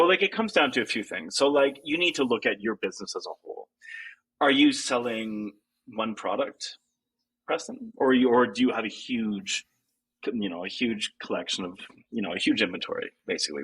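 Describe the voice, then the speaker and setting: A man's voice: on the low side, a bit bright, husky, poor audio. A man, a podcast guest, talking casually and filling his sentences with "like". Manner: chatty, leans on "like", laughs easily. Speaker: guest, ecommerce consultant.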